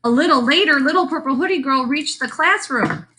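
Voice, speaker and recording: A woman's voice: high voice, brisk, pushed and bright. A woman teacher giving a lesson to children, thinking aloud as she writes a story, with playful asides. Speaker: elementary school teacher.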